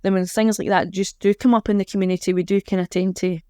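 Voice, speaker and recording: Scottish woman with a clear, even voice. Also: medium pitch, quick pace, no room sound, studio quality. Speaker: charity organiser, podcast guest. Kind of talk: chatty interview answers.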